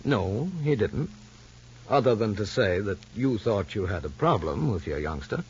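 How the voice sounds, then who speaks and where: An actor playing a formal child psychologist in a radio soap opera, probing gently and deliberately. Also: male voice, fairly low pitch, expressive, slight background noise, lightly acted. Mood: calm, probing. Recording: muffled old radio recording.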